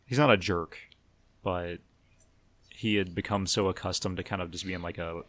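A man hosting a casual podcast discussion, talking in a somewhat halting, hesitant way. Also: low voice, fairly even intonation, fairly bright tone, dry recording. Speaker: podcast host.

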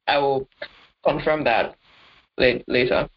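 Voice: medium pitch, fairly even intonation, takes it slowly, noisy background. Chatty co-host, dull lo-fi audio. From not Nigerian. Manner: chatty, hesitant, self-correcting. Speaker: podcast co-host. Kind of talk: casual sports chat.

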